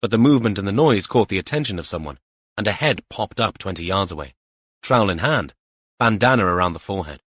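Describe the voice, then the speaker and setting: Low, husky male audiobook narrator. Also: animated, fast talker, a bit bright, no room sound, clean studio sound. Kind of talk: scripted mystery fiction narration.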